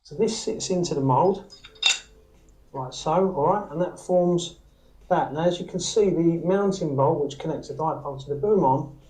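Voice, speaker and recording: British man, higher-pitched voice, casual demonstrator. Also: moderate pace, dark tone, poor audio. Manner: informal, often checks in with "all right?" and "okay?". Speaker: antenna maker demonstrating his workshop process. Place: small workshop, indoors.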